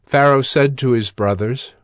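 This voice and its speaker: A man narrating scripture in a solemn, formal, measured scripted style. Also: mid-range voice, no room sound, clean studio sound. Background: soft music.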